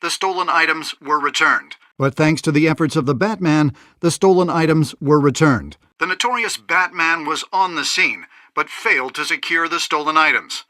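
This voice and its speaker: Urgent TV reporter: high, forceful, formal newsreading, dry sound. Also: male voice, normal speed, few pauses, no room sound. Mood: reporting. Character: brisk, urgent male TV news reporter. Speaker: TV news reporter. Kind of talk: scripted news report.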